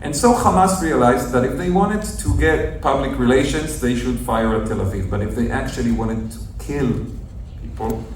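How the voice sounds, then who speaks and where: A man's voice: mid-range voice, normal speed, few pauses. Man, earnest explainer, far from the mic, heavy echo, faint noise. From northern Israel. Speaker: journalist speaking to a group. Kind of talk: storytelling talk to visitors. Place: outdoors at a kibbutz near the Gaza border. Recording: very echoey, distant outdoor recording with faint noise.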